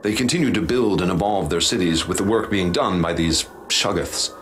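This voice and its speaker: An actor playing a haunted male professor, speaking in a formal, measured, ominous way in a radio drama. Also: low-pitched, fairly bright tone. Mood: grave, measured. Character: grave haunted male professor recounting horrors he witnessed. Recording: clear background noise and ambience.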